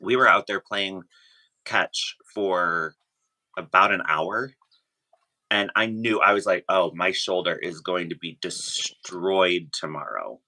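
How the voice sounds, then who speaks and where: Very deep, bright-voiced man. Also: slow, low-quality recording. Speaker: podcast guest. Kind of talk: casual conversational testimonial.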